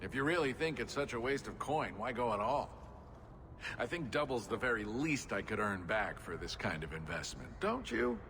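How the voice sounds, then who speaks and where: A man's voice: mid-range voice, sing-song, normal speed, bright, forceful tone. A man voicing a wry, persuasive dwarf rogue deal-maker in a video game. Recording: reverberant hall, noticeable ambient noise.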